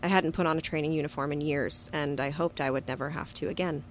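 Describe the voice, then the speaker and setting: Casting: actress as tough, stubborn female agent for audio drama, lowish voice, faint ambience behind her. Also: fairly even intonation, fast talker, a little hiss or hum, natural voice. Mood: somber narration. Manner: blunt, terse, stubborn. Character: defiant, battle-hardened young woman agent narrating her story. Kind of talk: audio drama dialogue and first-person narration.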